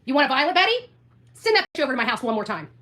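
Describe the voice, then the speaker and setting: A woman's voice: high-pitched, very animated, very fast, a bit bright. A woman livestreamer ranting confrontationally, taunting and repeating her phrases for emphasis.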